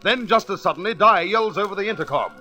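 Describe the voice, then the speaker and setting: A man's voice: sits high, expressive, quick pace, pushed and bright, faint music behind, full character voice. A man narrating a space adventure radio serial in a dramatic, scripted storytelling style. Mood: dramatic, suspenseful.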